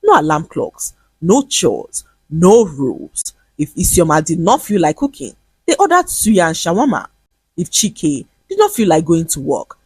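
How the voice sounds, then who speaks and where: A woman storyteller, narrating a comic moral tale in a lively, expressive way. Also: fairly low pitch, slightly rough, no echo.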